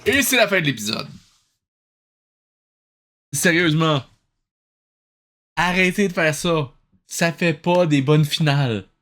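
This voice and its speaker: A man's voice: on the high side, lively intonation, slow, long pauses, a bit bright. A man with a Québécois accent giving casual, mocking, incredulous commentary as host of a cartoon review.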